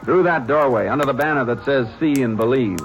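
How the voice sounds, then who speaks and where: An actor playing a steady, dry-witted male Texas Ranger who narrates and talks in a calm, plain-spoken way, in an old-time radio drama. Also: medium pitch, fairly quick, lightly acted. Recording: muffled old-time radio recording with music.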